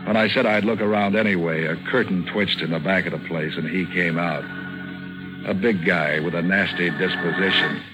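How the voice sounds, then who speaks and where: Deep-voiced hard-boiled radio detective played by a man. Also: normal speed, natural voice, rough recording. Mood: weary, wry. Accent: American. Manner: hard-boiled, slangy, deadpan. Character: tough wisecracking male private eye, cynical. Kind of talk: 1940s radio noir acting and narration. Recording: vintage 1940s radio broadcast, music behind.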